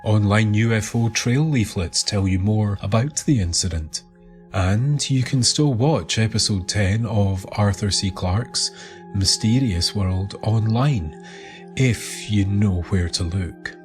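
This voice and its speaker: A man narrating a scripted documentary story in a measured, deliberate manner. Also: on the low side. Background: music.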